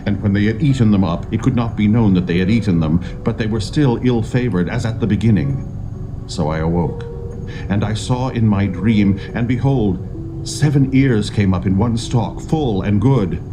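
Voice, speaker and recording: An actor playing a grave, regal king of ancient Egypt in a dramatised scripture reading, speaking in a stately, deliberate manner. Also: on the low side, background noise, lightly acted. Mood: troubled, grave.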